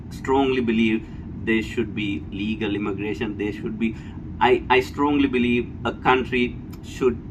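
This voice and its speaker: A man with a Sri Lankan accent giving an unscripted, blunt opinion monologue, emphatic and repetitive, often checking for agreement. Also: fairly low pitch. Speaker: vlogger giving personal opinion. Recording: clear steady background noise, air-conditioner hum.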